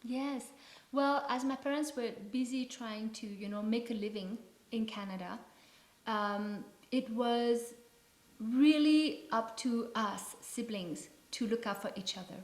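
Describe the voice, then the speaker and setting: Woman's voice in an echoey room. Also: on the high side. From Vietnam. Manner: measured, storytelling. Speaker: guest. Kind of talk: interview conversation. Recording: echoey room, faint ambience.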